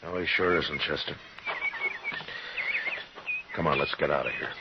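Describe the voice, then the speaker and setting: An actor playing a stern, steady American frontier marshal, laconic and authoritative, in old radio western style. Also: male voice. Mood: quietly firm. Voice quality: deep, authoritative. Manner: measured, understated. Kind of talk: 1950s radio western acting and narration. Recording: muffled vintage radio recording with music.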